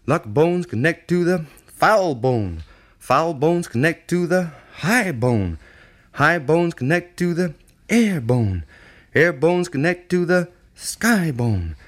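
A man's voice: on the high side, animated, slow. A man giving a rhythmic recital of poetry.

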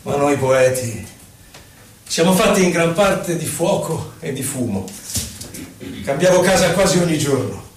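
Declamatory exiled poet, distant and echoey. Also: male voice, on the high side, animated, slight background noise. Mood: reflective. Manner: declamatory, deliberate, dramatic pauses. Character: exiled Chilean poet-senator recalling persecution, indignant, solemn. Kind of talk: theatrical poetry recitation for radio. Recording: roomy echo, distant from microphone.

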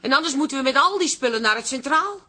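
An actress playing a brisk, bossy, sharp-tongued café woman in a radio comedy drama, teasing as she talks. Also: high-pitched, animated.

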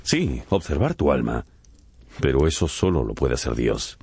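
A man's voice: rumbling low, very animated, normal speed, creaky, a little mellow, slight background noise, full character voice. An actor playing an earnest, anxious painter troubled over his friend's morals, solemn and pleading, in a radio drama. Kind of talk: Spanish-language radio drama acting.

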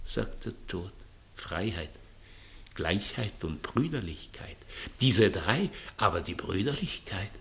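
An actor playing a cynical, scheming master who philosophizes grandly about death in a theatrical, sardonic radio play style. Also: male voice, on the low side, creaky. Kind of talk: 1960s German radio play acting.

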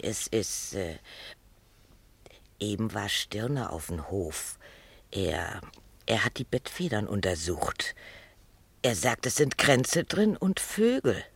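An actress playing a timid, superstitious young farm maid in a German radio drama, hesitant, naive and deferential. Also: female voice, extremely low pitch, very animated, slow pace, creaky, pauses often, husky, full character voice. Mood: fearful, secretive.

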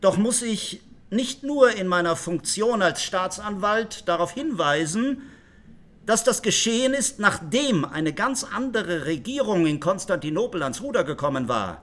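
An actor playing a punctilious public prosecutor, arguing formally and emphatically before a court in a radio drama. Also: male voice, high voice, a bit bright, full character voice. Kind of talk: radio play courtroom speech.

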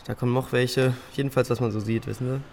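Man playing a chatty young neighbour, quick, gravelly creak, gossipy, colloquial, radio crime drama. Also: mid-range voice, steady tone, darkish tone, a little hiss or hum, close to an everyday voice. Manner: colloquial, clipped phrases.

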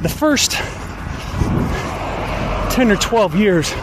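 Man in his fifties, podcast host, higher-pitched voice, slow deliberate delivery, clear outdoor traffic noise. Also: very animated. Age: 50s. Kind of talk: personal podcast monologue. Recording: noisy outdoor recording with traffic rumble.

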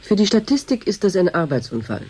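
A bureaucratic station doctor in a radio drama. Her voice is husky and dark with very lively intonation, and she stays matter-of-fact as she probes. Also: fairly low pitch, fairly quick. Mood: brisk, official. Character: detached, bureaucratic female doctor in charge. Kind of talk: German radio drama acting.

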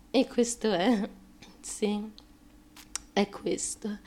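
An actress playing a fame-hungry, fragile and determined young woman in an intense confessional stage monologue, with a deliberate, emphatic and halting delivery. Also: fairly high pitch, darkish tone, lightly acted. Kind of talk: intense theatrical monologue, confessional stream of consciousness.

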